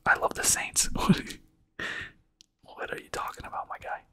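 Whispering man doing a casual ASMR stream. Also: normal speed. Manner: casual whispering, answers viewer questions. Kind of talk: whispered ASMR livestream chat.

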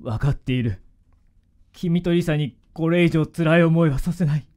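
A man's voice: fairly high pitch, normal speed, a little mellow, natural voice. A man playing a humble, remorseful middle-aged father in a Japanese radio drama. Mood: resigned.

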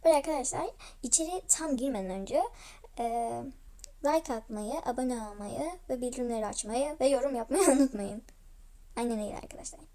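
High-pitched young-sounding female storyteller. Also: fairly quick, warm tone. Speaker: YouTube storyteller voicing a dollhouse game roleplay. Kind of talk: casual roleplay narration.

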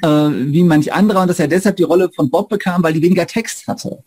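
A man's voice: high-pitched, quick pace, a little mellow, slightly rough. A man in his forties, casually putting questions as an interviewer on a video call. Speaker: interviewer, professor. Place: indoors, room with bookshelves, video call.

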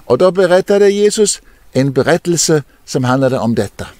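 An older man, a deacon, telling a Bible story to children outdoors in an expressive, lively way. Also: on the high side, no room sound. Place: outdoors in an open field.

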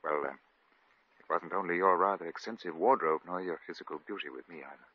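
Deep-voiced, gallant English lord. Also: male voice, brisk, husky, dry recording. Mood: earnest, pleading. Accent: British. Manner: gentlemanly, earnest. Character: earnest, lovestruck English lord, gallant and flustered. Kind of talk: 1950s radio comedy acting. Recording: dull, lo-fi old radio recording.

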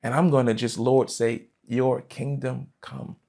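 A man in his thirties, a pastor preaching a sermon from a church stage, fervent and emphatic, jumping from loud bursts to quiet asides. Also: medium pitch, flat delivery, measured pace, gravelly. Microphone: headset mic.

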